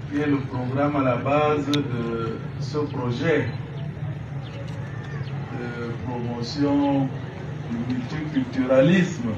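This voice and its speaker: A man giving a formal public address as a civic education speaker, with deliberate, hesitant phrasing. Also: medium pitch, lively intonation. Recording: echoey, noisy, muffled, far from mic.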